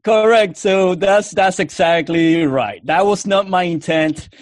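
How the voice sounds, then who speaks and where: A man's voice: high-pitched, unhurried, no room sound, studio quality. Cuban-accented man telling a rambling story. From Puerto Rico. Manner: rambling, full of restarts and fillers. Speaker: interview guest, Army veteran. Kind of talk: casual interview storytelling.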